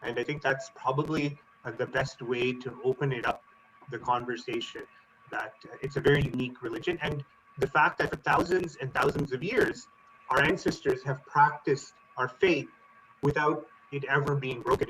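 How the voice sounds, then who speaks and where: A man speaking on a panel, thoughtful and halting, with frequent fillers. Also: fairly high pitch, fairly bright tone, a little hiss or hum, rough recording. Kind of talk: panel discussion remarks.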